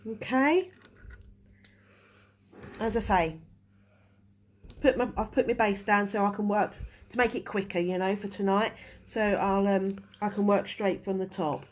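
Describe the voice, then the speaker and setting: A woman's voice: mid-range voice, steady tone, a little slow, long pauses. A woman with a head cold and a stuffy voice, chatting through a live nail-art tutorial while she works. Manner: chatty, restarts while working. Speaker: nail technician teaching a live class.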